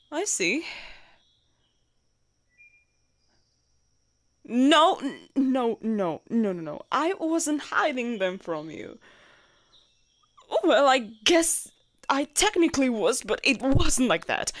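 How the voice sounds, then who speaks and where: Young female knight commander, played by a woman, audio roleplay. Formal, loyal, easily flustered; unhurried delivery, lively intonation. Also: on the high side, no room sound. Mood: nervous, flustered. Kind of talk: roleplay audio drama acting.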